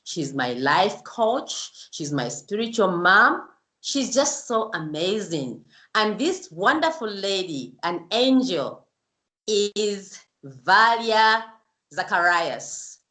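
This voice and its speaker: A woman's voice: mid-range voice, big pitch swings, slow, sharp tone, echoey room. A woman hosting her own show, chatting in a casual interview with effusive, gushing praise. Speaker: YouTube show host.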